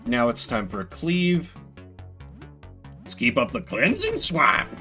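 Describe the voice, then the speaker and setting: A man's voice: medium pitch, wildly swooping pitch, takes it slowly, pushed and bright, faint music behind. Man rambling playfully over a video game. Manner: rambling, playful mock-grandiose asides. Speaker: solo video game streamer. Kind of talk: casual gameplay commentary, voicing game text.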